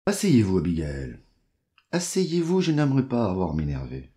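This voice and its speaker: A man's voice: lowish voice, wildly swooping pitch, moderate pace, mellow. A man playing a condescending, sardonic fixer with veiled menace, in a French audio drama. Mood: menacing calm. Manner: patronising, sardonic.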